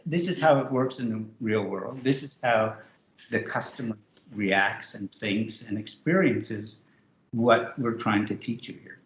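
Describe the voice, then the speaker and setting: A man's voice: on the low side, measured pace, a little hiss or hum. A man, co-author of a book, talking loosely with many restarts in a virtual author interview. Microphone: video call. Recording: roomy, muffled video call.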